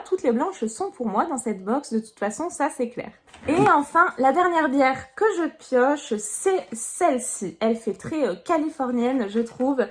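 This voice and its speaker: A high-pitched woman from Nice, France, talking through an unboxing in a casual, chatty way. She sounds upbeat and leans on fillers as she goes from item to item. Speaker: box reviewer. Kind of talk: casual product review.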